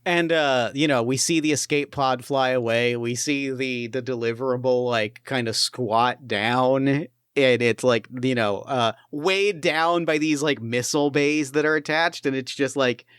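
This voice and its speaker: Guy gm rambling thru a tabletop game, smooth clear voice, dry close mic, lots of uh and like. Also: mid-range voice, measured pace, hardly any pauses, fairly bright tone, no room sound. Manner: rambling, many fillers and restarts. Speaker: game master. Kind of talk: casual tabletop play and narration.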